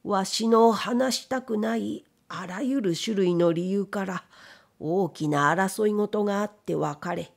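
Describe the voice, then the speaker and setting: Woman as old blind factory owner, proud, bitter, grieving, slow, gravelly, storybook reading. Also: medium pitch. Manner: weary, old-fashioned elderly man's speech. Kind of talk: dramatic literary reading.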